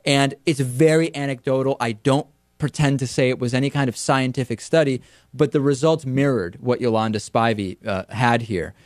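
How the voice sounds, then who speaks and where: A young man, Spanish his first language, hosts a news commentary segment from a studio desk mic. He talks emphatically and gestures as he explains. His voice sits at a middle pitch, and the room sound is very dry. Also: fairly even intonation, moderate pace, no room sound. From Argentina. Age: young adult. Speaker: talk show host. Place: TV studio set, indoors. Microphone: studio mic on a stand in front of him.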